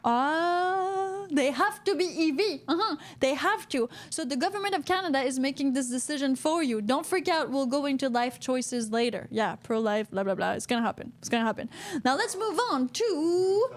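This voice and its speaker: A woman, co-hosting a casual podcast, chatty and quick to laugh while reading out viewer comments. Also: sits high, expressive. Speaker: podcast co-host. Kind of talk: casual livestream podcast chat. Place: Vancouver, Canada.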